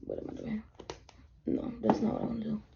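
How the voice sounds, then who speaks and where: A woman's voice: monotone, fairly quick, creaky, background noise. Tired woman, 21, rambling vlogger chat, casual swearing, filmed at her desk on dull lo-fi camera audio. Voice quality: a little deeper than usual from tiredness. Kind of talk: casual get-ready-with-me chat.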